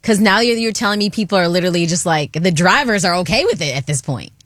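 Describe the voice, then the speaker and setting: A woman's voice: on the low side, expressive, fast, a bit bright, dry recording, studio quality. A woman co-hosting a radio show, reacting playfully and joking in casual banter. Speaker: radio co-host.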